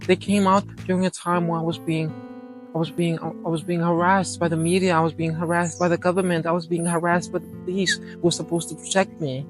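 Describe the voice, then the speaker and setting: A man impersonating a famous pop star in a comedic mock interview, rambling and filling every pause with "you know". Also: on the high side, close to an everyday voice.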